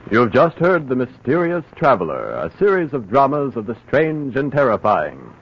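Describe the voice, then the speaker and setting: A male announcer with a lively, rising-and-falling delivery gives a formal sign-off. It sounds like a hissy, muffled old radio broadcast. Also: medium pitch, normal speed.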